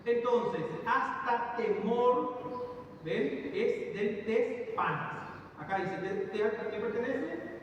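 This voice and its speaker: A man's voice: extremely high pitch, animated, slow pace, muffled recording. Man, classroom lecture, explains step by step, far-off mic, noisy hall echo. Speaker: psychometrics teacher. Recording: distant echoey classroom recording with background noise.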